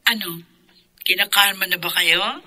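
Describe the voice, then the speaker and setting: A woman's voice: medium pitch, moderate pace, noisy background, close to an everyday voice. A woman playing a spiteful, taunting other woman in a radio drama, with a mocking drawl. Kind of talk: horror radio drama acting.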